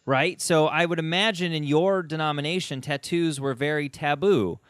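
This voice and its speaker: A man's voice: fairly high pitch, normal speed, a bit bright, clear, resonant tone, no echo, studio quality. A man from the United States hosting a conversational interview, speaking in an opinionated, emphatic way. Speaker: podcast host.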